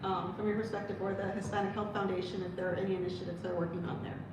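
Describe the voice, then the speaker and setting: A woman moderating a panel, handing off questions briskly and friendly. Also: medium pitch, little pitch movement. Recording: echoey room, distant mic, background noise.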